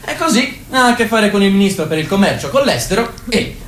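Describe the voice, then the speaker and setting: A man's voice: high voice, sing-song, a little fast, a bit bright. A man acting a smug, manipulative party official in a stage drama, insinuating and amused. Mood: sardonic. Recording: roomy echo with faint noise.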